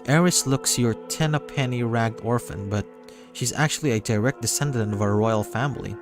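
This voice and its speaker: A man's voice: mid-range voice, moderate pace. A man reading a visual novel aloud as narration on a livestream, sometimes stumbling and rereading. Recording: music behind the voice. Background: music.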